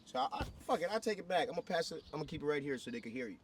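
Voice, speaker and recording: A man from Ghana hosting a casual interview for his vlog, excitable and profane, often cutting in. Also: on the high side, very fast. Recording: distant mic with background noise.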